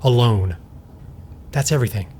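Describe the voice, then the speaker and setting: A man's voice: medium pitch, normal speed, warm tone, natural voice. A man acting in an audio drama as a stern, self-controlled scientist, blunt and clinical in manner. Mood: matter-of-fact. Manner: matter-of-fact, firm, clinical. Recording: faint background noise.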